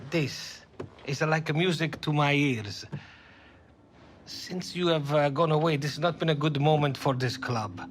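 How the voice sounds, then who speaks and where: An actor playing a passionate, burdened football manager with an Italian accent in a video game cutscene. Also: male voice, a little high, a little slow, a little hiss or hum, lightly acted. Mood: burdened, earnest. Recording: music behind the voice.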